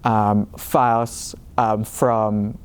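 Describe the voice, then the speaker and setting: A man's voice: on the low side, level tone, drawn-out words, smooth. A young man from the Philippines, a master's student, talking about his program in a casual, hesitant way with many fillers and restarts. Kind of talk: casual talk to camera.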